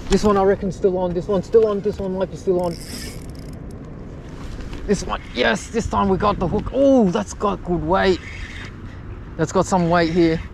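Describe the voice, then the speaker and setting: A young man commentating his own fishing live from an outdoor wharf at night, chatty and loudly excited when fish bite. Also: high voice, normal speed, vocal fry, pauses often. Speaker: fishing vlogger. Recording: outdoor night recording with background noise.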